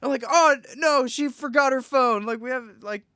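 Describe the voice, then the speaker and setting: A man's voice: extremely high pitch, normal speed, sharp tone, smooth, dry recording, clean studio sound. A young man hosting a casual podcast, joking, laughing often and swearing while telling a story. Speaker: podcast host.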